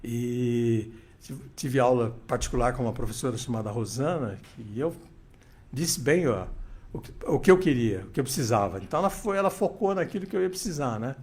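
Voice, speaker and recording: Older man telling lively stories. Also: medium pitch, fairly quick. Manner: animated anecdotes, many restarts and quoted dialogue. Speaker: interview guest, radio veteran. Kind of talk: casual storytelling interview.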